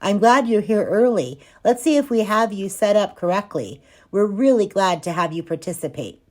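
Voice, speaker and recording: A woman's voice: mid-range voice, expressive, normal speed, lightly acted. Friendly stage manager dad, read by a woman. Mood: welcoming.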